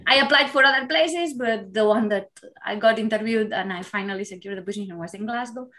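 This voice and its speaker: A woman, a lecturer talking candidly as a podcast guest, reflective and quick to laugh. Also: mid-range voice, pushed and bright. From Spain. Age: 30s. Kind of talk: casual interview chat.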